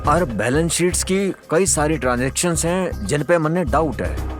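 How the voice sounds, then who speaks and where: A man's voice: fairly high pitch, lively intonation, normal speed, natural voice. A man with a Haryanvi accent playing a polite, self-assured and shrewd young husband in an audio drama. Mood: businesslike. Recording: music behind the voice.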